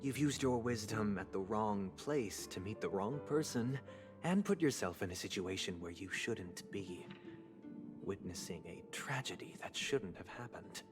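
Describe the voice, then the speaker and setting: An actor playing a composed, menacing, high-ranking gentleman in a video game, speaking in a measured, formal, condescending way. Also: lively intonation. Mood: coldly polite. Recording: game dialogue with music underneath.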